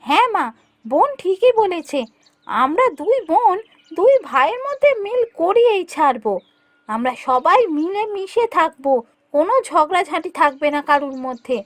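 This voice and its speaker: A woman's voice: very high voice, very animated, moderate pace, no room sound. A woman voicing a caring, insistent young village wife in a cartoon, earnest and pleading. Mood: reassuring. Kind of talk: cartoon voice acting.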